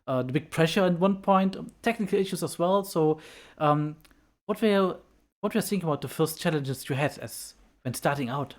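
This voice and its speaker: A woman from Germany hosting a casual interview, playful and quick to laugh. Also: low voice.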